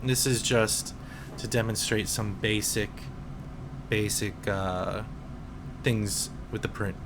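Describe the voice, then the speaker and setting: A man in his twenties, a teacher, calmly explaining programming in a casual screen-recorded tutorial with some fillers. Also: lowish voice, noisy background. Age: 20s. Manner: slow, relaxed explaining with fillers.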